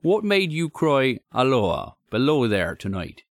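A man's voice: mid-range voice, very animated, no room sound, studio quality, voice pushed up. Man playing a grave, haunted railway signalman. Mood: solemn, insistent. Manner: grave, halting, deferential. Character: troubled, anxious, courteous male railway signalman with grave manner.

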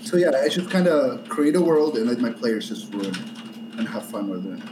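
A man's voice: mid-range voice, big pitch swings, normal speed, background noise. Echoey Argentine man explaining. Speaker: panelist, YouTuber. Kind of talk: casual panel chat.

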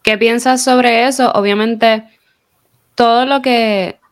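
A woman's voice: a little high, steady tone, takes it slowly. A woman with a Puerto Rican Spanish accent, interviewing a guest with chatty, probing questions. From Puerto Rico. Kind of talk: conversational interview.

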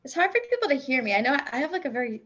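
Soft spoken woman cohost, kinda high voice, muffled lo-fi call. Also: sing-song, very fast. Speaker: co-host. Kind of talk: casual chat.

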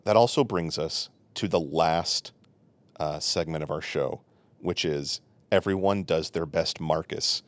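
A man's voice: rumbling low, unhurried, no room sound. A man hosting a casual podcast, running the chat with playful, jokey asides. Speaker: podcast host.

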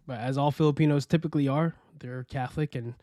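A young American man telling his story as a podcast guest, relaxed and rambling with many fillers and restarts. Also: mid-range voice, fast talker, no echo, studio quality. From United States. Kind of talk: casual interview chat.

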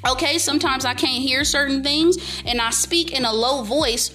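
A young woman vlogger giving motivational life advice in a loud, emphatic, repetitive way. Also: sits high, normal speed. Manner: emphatic, preachy, repeats herself, speaks up loudly. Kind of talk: casual motivational monologue to camera.

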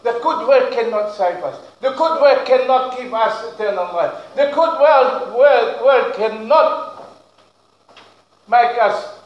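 A man preaching a sermon as pastor, speaking English as a second language with broken grammar, loud and emphatic, slowing down to stretch key words. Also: extremely high pitch, lo-fi sound. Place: church. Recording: very echoey room.

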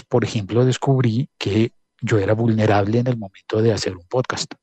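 Male former broadcaster, husky and dry-sounding, reflective and hesitant. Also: mid-range voice, warm tone, no room sound. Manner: hesitant, self-aware, storytelling. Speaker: panel guest, former broadcaster. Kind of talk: reflective panel talk.

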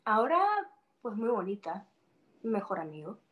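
A young woman from Spain talks in a relaxed interview. She often stops to gather her thoughts and repeats words before she finishes. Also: mid-range voice, moderate pace, phone-quality sound. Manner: hesitant, repeats words while thinking. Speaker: interview guest. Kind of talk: casual interview conversation.

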